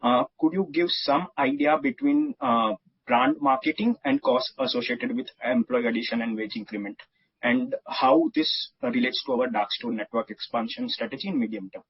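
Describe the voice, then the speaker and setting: Indian man, analyst with a formal question, rough husky voice, dull phone-line sound. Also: medium pitch, wildly swooping pitch, normal speed, no echo. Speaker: analyst asking a question on a call.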